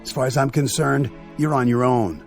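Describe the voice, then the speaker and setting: A man's voice: medium pitch, moderate pace, gravelly, faint music behind, natural voice. Man acting a stern, hard-nosed military spaceman. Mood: hard, scornful. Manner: commanding, scolding. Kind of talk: radio drama acting.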